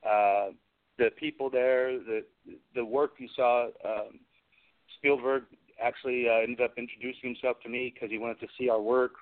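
A man's voice: mid-range voice, fairly even intonation, normal speed. A middle-aged man, a guest craftsman, telling chatty anecdotes in an interview and acting out bits of conversation as he goes. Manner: chatty, recounts conversations in quoted dialogue. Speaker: guest, special effects craftsman. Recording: tinny phone-line radio interview.

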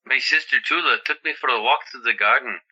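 An actor playing a gentle, affectionate young wounded soldier in an audio drama. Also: male voice, mid-range voice, fast talker, breathy edge, muffled recording. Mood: fond, amused.

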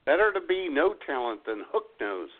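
A man's voice: medium pitch, very animated, moderate pace. A man co-hosting a comedy podcast, tossing in short, casual remarks with a dry, sardonic manner. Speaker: co-host of a comedy radio podcast. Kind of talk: casual banter with short interjections. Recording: tinny phone-like band-limited sound.

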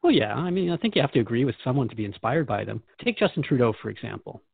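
A man's voice: medium pitch, expressive, quick pace, creaky, husky, no echo. A man hosting a radio show in an earnest, inquisitive, conversational manner, recorded dry and somewhat muffled.

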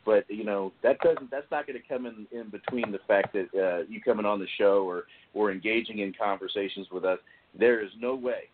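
Male podcast host, lowish voice, hesitant delivery with many restarts, tinny call-line sound. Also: background noise. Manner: halting, repeats words often. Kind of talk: casual podcast discussion. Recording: tinny phone-like call audio.

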